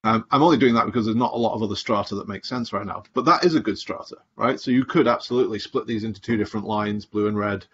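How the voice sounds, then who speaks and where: A middle-aged man giving a screen-share presentation on a video call, explaining things in an unhurried way with plenty of fillers. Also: lowish voice, slight background noise. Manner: explanatory, thinking aloud with many fillers. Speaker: community presenter. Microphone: video call webcam. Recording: dull lo-fi video call audio.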